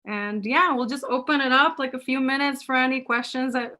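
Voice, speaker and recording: A woman's voice: high-pitched, steady tone, fairly quick, pushed and bright. A woman from Puerto Rico, an author chatting casually with her audience on a video call, laughing often and mixing in Spanish words. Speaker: author answering audience questions. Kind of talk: casual live Q&A chat.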